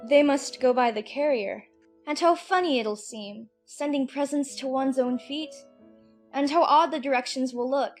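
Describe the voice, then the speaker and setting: Woman voicing a young storybook girl, high voice, inquisitive, chattering, self-talking musings, faint music behind. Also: normal speed. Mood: fretful, musing. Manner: talking to herself, musing aloud. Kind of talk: dramatized audiobook character acting.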